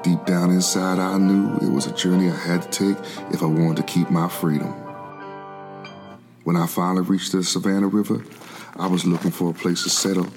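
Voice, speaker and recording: An actor playing a weary, determined man who escaped slavery in the 1700s, narrating his flight in a measured, solemn stage style. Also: low-pitched, steady tone, music in the background. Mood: weary, resolute. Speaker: narrator in a gospel oratorio. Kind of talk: dramatic scripted first-person narration.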